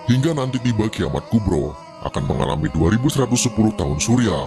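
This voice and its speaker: A man reading a scripted narration in the style of legendary storytelling. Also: on the low side.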